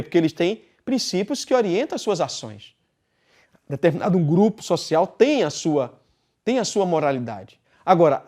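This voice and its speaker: A man with a Brazilian Portuguese accent teaching a philosophy class, speaking deliberately and posing questions he then answers himself. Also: high-pitched, a little mellow. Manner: deliberate, poses rhetorical questions and answers them. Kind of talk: recorded class lecture.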